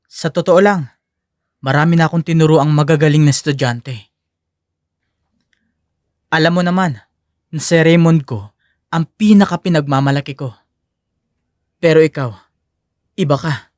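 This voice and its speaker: A man's voice: a little high, a little slow, pauses often, a little mellow, no echo, studio quality, close to an everyday voice. A man playing an encouraging male taekwondo coach, instructive and earnest, in an audio drama. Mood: sincere praise. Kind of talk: audio drama character acting.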